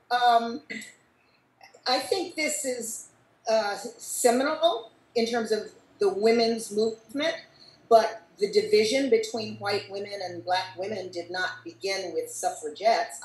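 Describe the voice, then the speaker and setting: An older American woman speaks in a discursive, thoughtful way, dotted with "you know". Her pitch is average for a woman. The video-call sound is roomy and she sits away from the mic. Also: slow pace, a little mellow, recorded from a distance. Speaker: panelist. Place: book-lined room at home.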